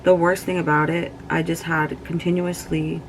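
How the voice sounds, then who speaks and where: A woman's voice: low-pitched, little pitch movement, moderate pace, slight background noise, poor audio. A woman in her twenties talking casually and candidly while doing her makeup, swearing freely and using lots of fillers. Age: 20s. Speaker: beauty vlogger. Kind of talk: casual get-ready-with-me chat.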